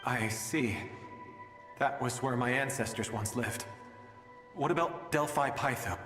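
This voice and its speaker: A man playing a weary, doomed father from an ancient age in a dramatic game scene, speaking in a halting, resigned, reflective way. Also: mid-range voice, fairly bright tone, lightly acted. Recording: echoey hall reverb, music behind. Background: music.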